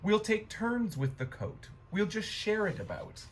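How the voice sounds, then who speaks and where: A man voicing a sweet, excitable, kind-hearted young female pig in a storybook, earnest and wide-eyed. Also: sits high, unhurried, a little hiss or hum, pitched above the performer's own voice. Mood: earnest, resolved.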